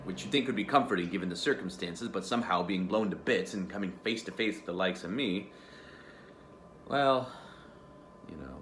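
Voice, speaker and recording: A man's voice: low voice, expressive, normal speed, fairly bright tone, slight background noise. A man playing a sardonic, guilt-ridden tiger ghost. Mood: wry. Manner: sardonic, profane storytelling. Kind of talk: stage monologue. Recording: echoey room.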